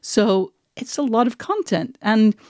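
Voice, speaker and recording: A woman's voice: a little high, big pitch swings, measured pace, darkish tone, no echo, studio quality. A British woman hosting a podcast, talking in an articulate, emphatic, conversational way. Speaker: podcast host.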